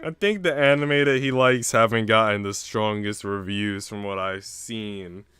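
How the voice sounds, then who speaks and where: A man's voice: fairly low pitch, unhurried, fairly bright tone, clear, resonant tone, dry recording. A young man hosting a livestream, speaking informally into a close mic. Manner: slangy, swearing, informal. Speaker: livestream host. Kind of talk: casual livestream chat.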